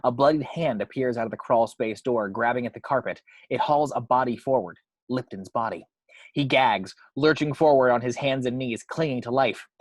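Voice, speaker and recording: A man's voice: medium pitch, dry recording. Dry-recorded man narrating a screenplay. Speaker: narrator reading action description.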